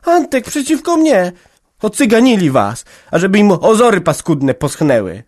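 A man's voice: mid-range voice, sing-song, measured pace, no room sound, clean studio sound, voice pushed up. A man voicing a stubborn, tearful young peasant woman who answers back defiantly. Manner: defiant, sulky retorts.

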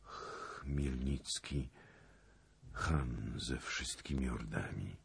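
A man voicing an exhausted but proud soldier in a dramatic story reading, speaking in terse, halting phrases. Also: extremely low pitch, exaggerated voice. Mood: weak, grim. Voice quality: weak, breathy. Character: exhausted ragged hussar knight, loyal and proud.

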